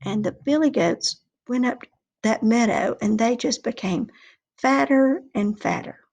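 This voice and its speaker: A woman teaching young children and telling a folk tale in simple, deliberate language. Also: on the high side, vocal fry, darkish tone. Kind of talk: children's lesson and oral storytelling.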